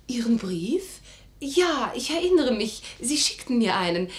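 A spirited young noblewoman in love in a 1950s radio play. Her voice is fairly high and swings widely in pitch. She speaks with refined, playful, theatrical eloquence, teasing and determined. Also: very animated, unhurried.

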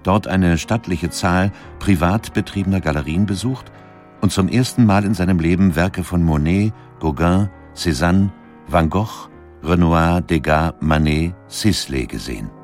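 A man reading scripted documentary narration in a measured, factual way. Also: low-pitched, darkish tone, faint music behind.

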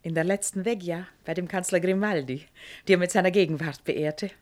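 Actress as a lower-voiced, placating mother. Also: a little fast, natural voice. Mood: delighted. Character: middle-aged mother, fond, placating, proud of daughter. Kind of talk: classical radio drama acting.

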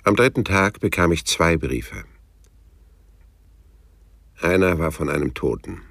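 An actor playing a dry, unimpressed private detective, laconic and deadpan, with a gravelly voice in a hardboiled radio drama. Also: male voice, deep voice, a little slow, no echo, heavily acted. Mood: sober narrating. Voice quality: gravelly, slightly husky.

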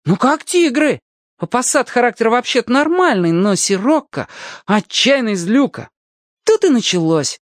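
A man's voice: very high voice, big pitch swings, unhurried, no echo, studio quality, voice pushed up, full character voice. A man voicing an eager, chatty young boy in a children's audiobook tale. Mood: excited boasting. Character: lively, eager young boy, trusting storyteller. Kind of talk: acted audiobook dialogue.

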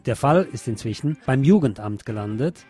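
A man's voice: mid-range voice, lively intonation, normal speed, dark tone, husky. A man presenting radio news with sardonic, opinionated commentary. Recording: music bed under the voice. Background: music.

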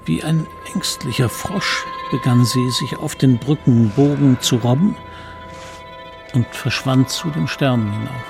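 Troubled guy telling his boyhood story, gravelly, brooding, music behind. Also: medium pitch, a little slow. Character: troubled man narrating his boyhood guilt. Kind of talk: dramatic radio play narration.

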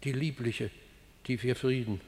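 Male actor as tragic chorus elder, creaky, solemn, sorrowful, radio verse drama, hall reverb. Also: mid-range voice, steady tone, measured pace, a little mellow, lightly acted. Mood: solemn lament.

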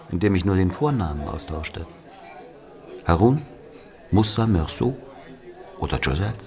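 A man's voice: sits low, darkish tone. An actor playing an aging Algerian man bitterly recounting his past in a radio drama, in a brooding, confiding manner. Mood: bitter, musing. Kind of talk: dramatic first-person narration in German.

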